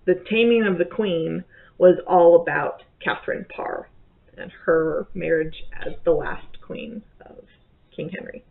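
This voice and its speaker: A woman's voice: on the low side, measured pace, gravelly. Chatty woman vlogger, tinny phone-like sound. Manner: rambling, self-correcting, chatty. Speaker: book vlogger. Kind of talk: casual monthly reading wrap-up. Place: bedroom or home office, indoors. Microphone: webcam at desk.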